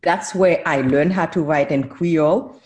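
A woman's voice: sits low, measured pace, darkish tone. A woman in her forties, born in Haiti, speaking as a professor guest on a casual podcast, who laughs often and corrects herself as she goes.

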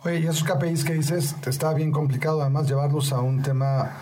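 A man co-hosting a sales podcast, talking in a relaxed, friendly way. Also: fairly high pitch, quick pace, slight background noise. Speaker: podcast co-host. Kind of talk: podcast interview.

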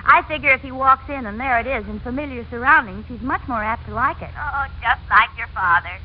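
A woman's voice: on the high side, a little fast, natural voice. An actress playing a genteel, chatty woman in an old-time radio family sitcom. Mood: bright, confiding. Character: genteel, animated woman in domestic family comedy. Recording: muffled, faintly noisy old radio recording.

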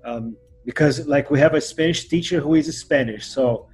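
Husky Brazilian man, animated podcast host. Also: moderate pace, soft background music. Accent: Brazilian Portuguese. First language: Portuguese. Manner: animated, jumps up in pitch and loudness when excited. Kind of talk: casual interview chat.

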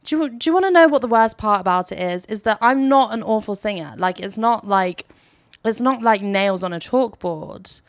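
A woman's voice: mid-range voice, expressive, moderate pace, dry recording, studio quality. A young woman hosting a casual solo podcast from her sofa, chatty and self-mocking, laughing often as she talks. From Philippines. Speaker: podcast host. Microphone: phone.

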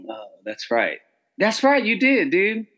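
Excitable slangy man hosting. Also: high-pitched, unhurried. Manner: excitable, slangy, says dude a lot. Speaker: podcast host. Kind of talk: casual interview chat.

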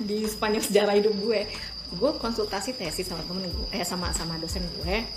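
Woman, Betawi accent, 30s, animated storyteller, slangy, echoey room, music bed. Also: mid-range voice, normal speed. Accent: Betawi Jakarta. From Jakarta, Indonesia. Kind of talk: casual chat.

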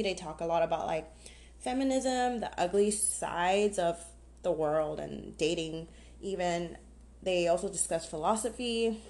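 Young woman, slightly low-pitched voice, casual podcast chat, candid, informal. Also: darkish tone. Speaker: podcast host. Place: outdoors on a patio.

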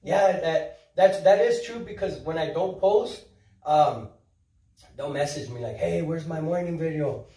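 A man in his thirties, a guest chatting casually on a podcast, upbeat and animated, acting out quoted voices and dropping in Spanish words. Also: a little high, unhurried. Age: 30s. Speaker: podcast guest, social media influencer. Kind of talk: casual chat. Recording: roomy, mic at a distance.

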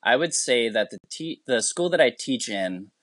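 A man's voice: medium pitch, lively intonation, normal speed, a bit bright, no room sound, studio quality. A man from the United States, a teacher, speaking as a guest in a casual interview, earnest and rambling with many restarts.

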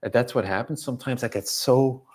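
A man's voice: mid-range voice, brisk, a little mellow, breathy edge. A man speaking as a dog coach, explaining at length and emphatically in casual conversation. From Belgium. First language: not English. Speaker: dog trainer and coach, podcast guest.

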